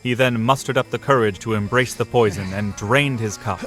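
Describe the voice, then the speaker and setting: A man's voice: mid-range voice, normal speed, a bit bright, faint music behind. A man reading scripted narration for a fantasy audio drama, in a storyteller's style.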